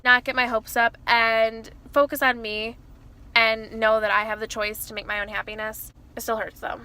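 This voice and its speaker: A woman in her early twenties with a loud voice, talking casually to camera from inside her car and rambling with lots of fillers and restarts. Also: a little high, little pitch movement, moderate pace, clear, resonant tone. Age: early 20s. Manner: rambling, self-interrupting, many fillers like "like" and "you know". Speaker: vlogger. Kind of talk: casual confessional chat to camera.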